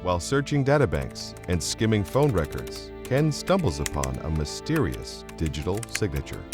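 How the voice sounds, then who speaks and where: A man's voice: deep voice, big pitch swings, moderate pace, music in the background. A man giving dramatic scripted narration as the narrator of a radio play.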